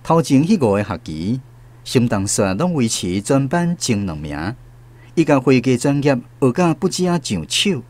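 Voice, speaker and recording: A man's voice: mid-range voice, sing-song, normal speed, darkish tone. A man with a Taiwanese accent narrating a scripted literary radio drama as a storyteller.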